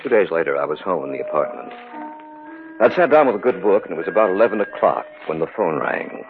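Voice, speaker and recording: A man's voice: sits low, sing-song, quick pace, music in the background. An actor playing a sober, level-headed man narrating his own eerie tale in a 1950s radio drama. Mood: grave. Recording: muffled old radio recording.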